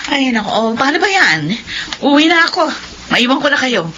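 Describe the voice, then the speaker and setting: A woman's voice: high voice, sing-song, moderate pace, sharp tone, exaggerated voice. An actress playing a breezy, worldly woman who encourages a gambling friend in a radio drama. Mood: breezy, consoling. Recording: dull, faintly noisy radio recording.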